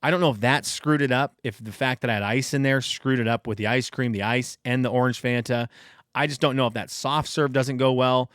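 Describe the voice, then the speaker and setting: A man's voice: medium pitch, fairly even intonation, fairly quick, fairly bright tone, no echo. Man, podcast host, from venezuela, rambling self-deprecating storyteller, mid-range voice, dead-dry sound. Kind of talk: casual solo podcast monologue.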